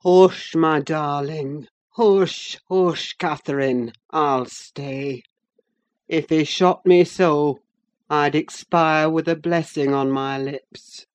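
A woman acts out a passionate, desperate man from a classic novel. The delivery is slow and forceful, urgent and pleading, as in a dramatic audiobook. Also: low voice, steady tone. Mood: desperate, commanding.

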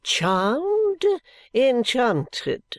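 A reader voicing a pompous, self-admiring elderly gentleman in an audiobook, with florid, grandiose delivery and theatrical airs. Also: medium pitch, huge pitch swings, extremely slow, no echo, studio quality, pitched above the performer's own voice, exaggerated voice. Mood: gushing, affected. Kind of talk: audiobook character voice.

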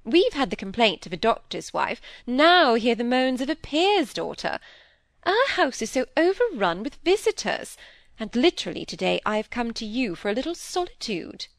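A woman plays a young aristocratic lady from a period storybook. She is breezy and imperious, with high, swooping speech that leans hard on her words as she playfully complains. The sound is close and dry. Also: big pitch swings, moderate pace, no room sound. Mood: playfully exasperated. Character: aristocratic young lady, frank, teasing, world-weary, breezy. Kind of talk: audiobook character voice in a period novel.

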